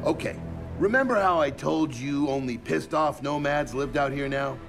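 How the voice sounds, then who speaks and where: An American actor playing a cocky, sardonic middle-aged engineer soldier in a video game. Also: male voice, fairly high pitch, moderate pace, over music, lightly acted. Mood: cocky, brisk. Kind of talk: video game cutscene acting.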